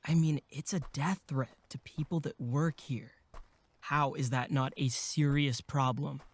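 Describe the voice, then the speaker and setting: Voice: medium pitch, steady tone, a little slow. A voice actor playing an earnest, casual young male camp counselor in a horror audio drama. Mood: earnest, frustrated.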